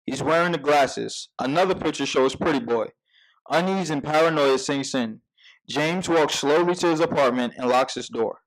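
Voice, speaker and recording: A man with a fairly high voice narrates a screenplay. He reads scene headings and stage directions as scripted narration at a table read. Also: moderate pace, poor audio.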